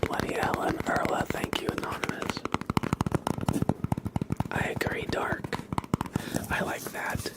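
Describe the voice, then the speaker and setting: A man hosting a live ASMR stream, speaking in a breathy, hushed whisper with repeated, drawn-out words. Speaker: ASMR livestream host. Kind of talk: live ASMR, thanking viewers and chatting.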